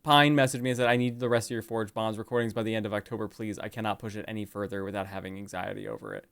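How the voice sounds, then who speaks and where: A man's voice: lowish voice, little pitch movement, rapid-fire, creaky, fairly bright tone, smooth, no room sound. A man who works as a voice actor chatting casually on a live stream, teasing and repeating his phrases. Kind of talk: casual chat.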